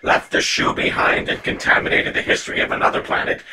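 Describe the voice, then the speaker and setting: An actor playing a skeptical, sarcastic alien caller who argues with scornful disbelief in comic sci-fi audio theatre. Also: male voice, fast talker, very noisy, lightly acted. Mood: incredulous, scornful. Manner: incredulous, argumentative.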